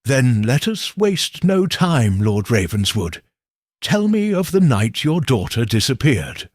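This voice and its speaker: A man's voice: mid-range voice, very animated, slow pace, dry recording, studio quality. A man voicing a shrewd, composed Victorian detective with precise, formal speech in an audiobook. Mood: brisk, commanding.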